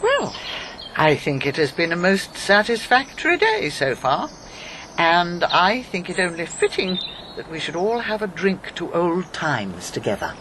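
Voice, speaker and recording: An actress playing an unsentimental elderly former intelligence officer in a radio drama, with a clipped, formal, imperious manner. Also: female voice, lowish voice, huge pitch swings, unhurried, background noise. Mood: crisp, composed. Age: 70s or older.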